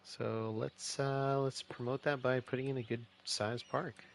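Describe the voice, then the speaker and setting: A man's voice: mid-range voice, measured pace, vocal fry, slight background noise. A man streaming a city-building game, chatting casually with lots of fillers and restarts, his voice a bit nasal and stuffed-up. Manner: relaxed, rambling, many fillers and restarts. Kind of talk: casual live-stream commentary.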